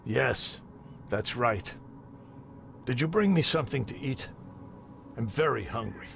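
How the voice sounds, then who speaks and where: An actor playing an old, dismissive family patriarch in a video game, with a gravelly, creaking voice. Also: male voice, medium pitch, lively intonation, unhurried, fairly bright tone, a little hiss or hum. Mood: peevish. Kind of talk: video game cutscene dialogue.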